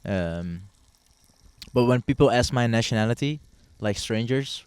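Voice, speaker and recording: A young man from the Netherlands chatting casually as a podcast guest outdoors at a barbecue, relaxed and hesitant, with lots of ums and restarts. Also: medium pitch, measured pace, clear, resonant tone, dry recording. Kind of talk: casual chat.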